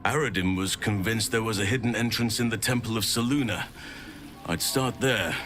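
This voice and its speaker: An actor playing a grave, wise, courteous middle-aged druid in a fantasy game, speaking in a measured, formal way. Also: male voice, lowish voice, a bit bright, soft background music, close to an everyday voice. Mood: grave, resolute. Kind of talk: scripted fantasy game dialogue.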